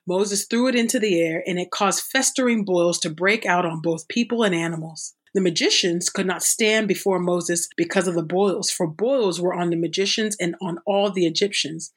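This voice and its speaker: A woman reading scripture aloud at a measured, steady pace. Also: on the low side, slightly rough. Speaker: scripture reader.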